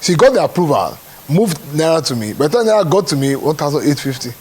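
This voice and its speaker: A man's voice: a little high, very animated, quick pace, darkish tone, slightly rough. A Nigerian man talking as a show producer in an interview, often checking that the listener follows. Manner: repeats check-in questions to the listener. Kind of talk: animated spoken interview.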